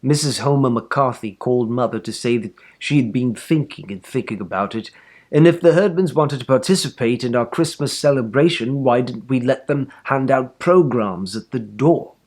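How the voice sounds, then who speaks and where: A man reading a children's book aloud in an animated, expressive storytelling manner. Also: medium pitch, moderate pace. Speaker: story reader.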